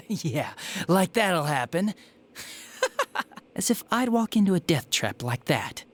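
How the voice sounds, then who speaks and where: A young male detective gone bad in a video game. His voice sits a little high and swings widely as he sneers. He is smug, spiteful and flippant, playing everything up theatrically. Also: big pitch swings, slow, full character voice. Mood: gleeful mocking. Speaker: police detective. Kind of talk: dramatic video game voice acting.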